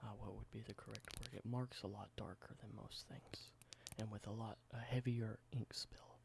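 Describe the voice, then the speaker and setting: A young man doing a soft, halting ASMR whisper, slowly explaining everyday objects in simple words. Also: lowish voice, steady tone, creaky, noisy background. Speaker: ASMR creator.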